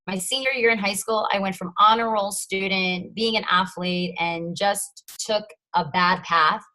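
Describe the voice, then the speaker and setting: Raspy-voiced woman giving a training. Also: medium pitch, a bit bright, low-quality recording. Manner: friendly, self-deprecating, storytelling. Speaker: guest trainer. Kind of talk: casual video call training. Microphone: video call.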